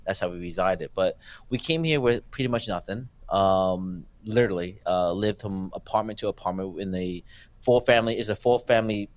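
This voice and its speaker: A man in his forties, born in Thailand and raised in New Jersey, telling his life story as a guest in a casual interview, halting with many fillers and restarts. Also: low-pitched, no room sound. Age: 40s. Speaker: filmmaker guest.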